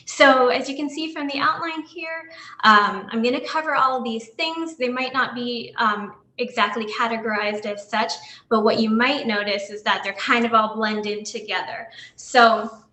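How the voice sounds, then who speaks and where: A woman's voice: on the high side, moderate pace, sharp tone, roomy sound. A woman in her thirties from New York giving a slide talk to an online audience as a guest dentist, earnest and full of ums and restarts. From Long Island, New York.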